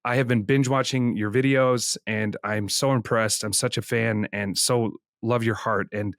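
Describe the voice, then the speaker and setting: A man's voice: fairly low pitch, fairly even intonation, moderate pace, fairly bright tone, no room sound, clean studio sound. Enthusiastic chatty male podcast host. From Netherlands. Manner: chatty, enthusiastic, self-deprecating. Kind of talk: casual interview chat.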